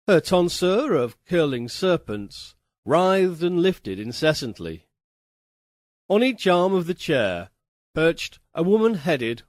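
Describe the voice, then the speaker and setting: A man reading a literary fantasy tale as dramatic narration, with a theatrical, deliberate and wryly formal delivery. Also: a little high, no echo, studio quality. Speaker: audiobook reader.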